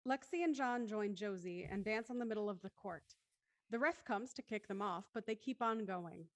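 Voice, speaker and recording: A woman narrates a scripted drama by reading stage directions. Her pitch is average and the sound is dry, with no room echo. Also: normal speed.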